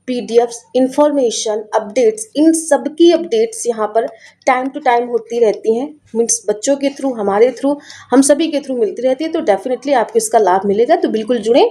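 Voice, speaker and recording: A woman with a North Indian accent lectures students online in a fairly high, very soft-toned, mellow voice. Her throat is sore, and she explains in a chatty way, often switching into English and repeating phrases. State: sore throat, voice affected by illness. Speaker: teacher, online course presenter.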